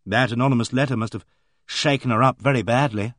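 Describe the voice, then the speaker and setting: A man's voice: mid-range voice, fairly even intonation, fast, a bit bright, no echo, studio quality, lightly acted. A man voicing a frank young woman who speaks in a direct, matter-of-fact way, in an audiobook. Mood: blunt.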